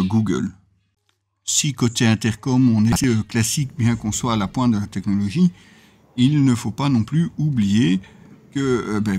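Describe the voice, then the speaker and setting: A man's voice: mid-range voice, a little mellow. Man presenting a gear review, explanatory. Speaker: motorcycle gear reviewer and video host. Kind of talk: semi-scripted product review to camera.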